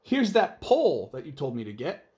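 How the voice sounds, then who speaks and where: A man's voice: a little high, wildly swooping pitch, normal speed, darkish tone. A man voicing a cheerful, literal-minded woman in a children's storybook, earnest and emphatic. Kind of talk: children's storybook read-aloud.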